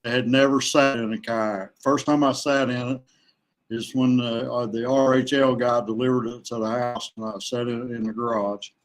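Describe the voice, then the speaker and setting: A middle-aged man from West Virginia, chatting casually as a guest in a halting way with pauses. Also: mid-range voice, level tone. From West Virginia, USA. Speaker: podcast guest, kayak angler. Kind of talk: casual chat. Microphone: remote online call.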